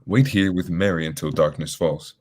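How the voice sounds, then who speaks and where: A very low, husky-voiced detective acted by a man from a radio mystery. He is formal, deliberate and sure of himself, giving orders with authority. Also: darkish tone. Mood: commanding. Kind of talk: old-time radio drama acting.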